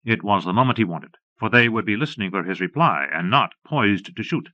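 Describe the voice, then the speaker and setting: Male narrator, western audiobook: bright forceful edge, dry close sound, dulled cassette transfer. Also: on the low side, lively intonation, brisk, dry recording. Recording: slightly dull, lo-fi cassette transfer.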